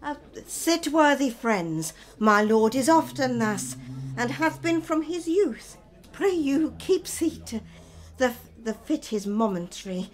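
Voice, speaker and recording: An actress playing a commanding, controlled queen in a classical Shakespearean radio drama, speaking with measured, formal diction. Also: high-pitched, lively intonation. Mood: urgent, composed. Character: commanding, controlled queen scolding her unstable husband.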